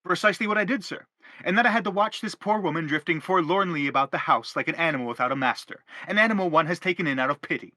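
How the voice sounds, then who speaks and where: Man playing a bright, forceful, pompous stage father. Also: fairly high pitch, fast talker, no room sound. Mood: pleading, self-justifying. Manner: pompous, wordy, insistent. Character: anguished, self-justifying middle-aged father, pompous and exasperated. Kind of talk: theatrical stage drama acting.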